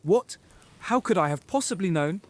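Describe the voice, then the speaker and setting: A man acts as a hot-tempered, mistrustful wizard in a fantasy audio drama. His delivery is curt and accusing, with very animated pitch. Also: normal speed. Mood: defensive. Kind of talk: fan audio drama acting.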